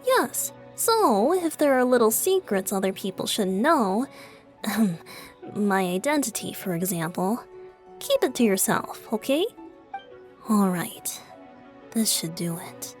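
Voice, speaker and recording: A woman's voice: medium pitch, big pitch swings, takes it slowly, faint music behind. A woman voicing a playful, teasing young male bard in a video game dub, light and mischievous. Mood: playful, coy. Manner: light, breezy, mischievous, sometimes whispering.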